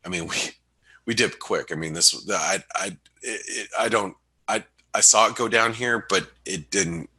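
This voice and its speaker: A man hosting a webinar, talking through market analysis in a casual, halting way, sounding nasal and sniffly from a cold. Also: fairly low pitch, pushed and bright, husky. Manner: halting, many restarts and fillers. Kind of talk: casual trading commentary.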